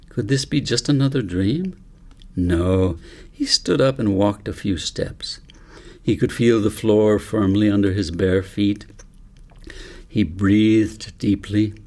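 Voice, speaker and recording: A man narrating a children's audiobook in a measured, deliberate storytelling manner. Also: fairly low pitch, creaky, darkish tone. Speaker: author reading own book aloud.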